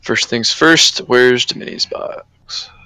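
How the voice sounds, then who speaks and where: A man's voice: mid-range voice, takes it slowly, pushed and bright, clean tone, no room sound, low-quality recording. A man chatting casually in a livestream voice call while working at a computer. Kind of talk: casual chat. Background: clicking and keyboard typing.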